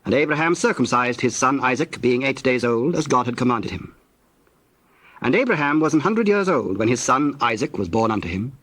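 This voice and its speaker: A man narrating scripture from a script in a solemn, measured manner. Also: medium pitch, expressive, a bit bright, no echo.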